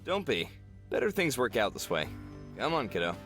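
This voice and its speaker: A man's voice: medium pitch, very animated, moderate pace. An actor playing a kindly, easygoing man in a visual novel. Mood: reassuring. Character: kindly, easygoing man who calls others kiddo. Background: soft game music with guitar.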